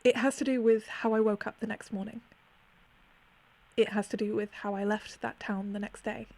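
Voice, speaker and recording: A woman's voice: mid-range voice, little pitch movement, moderate pace, creaky, a little mellow. A woman playing a haunted narrator of eerie memories, reciting a poetic monologue in a solemn, incantatory way. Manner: solemn, incantatory, repetitive phrasing.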